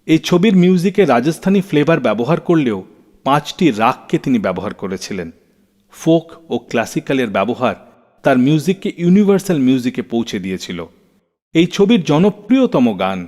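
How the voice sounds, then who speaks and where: A man's voice: medium pitch, sing-song, normal speed, darkish tone. A man hosting a radio show, telling stories about music in scripted narration. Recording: long reverb, faint music behind. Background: faint music.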